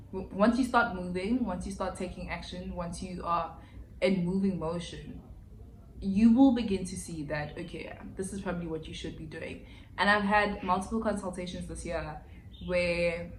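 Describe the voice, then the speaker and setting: A woman's voice: fairly low pitch, a bit bright. A woman, chatting candidly and reflectively through a casual life update vlog, with slangy asides. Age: 20s. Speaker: vlogger. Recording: echoey room with faint background hiss.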